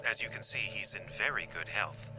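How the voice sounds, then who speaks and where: An actor playing a sinister, coolly polite captor in an audio drama, speaking in formal, measured, mockingly courteous threats. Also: male voice, fairly low pitch, loud music behind the voice, natural voice, poor audio. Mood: polite threatening.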